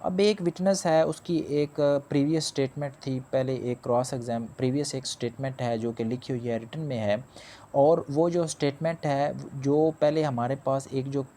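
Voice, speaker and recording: A man's voice: medium pitch, steady tone, moderate pace, mellow, a little hiss or hum. A man giving a spoken law lecture. He explains step by step, restates each point and mixes in English legal terms. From Pakistan.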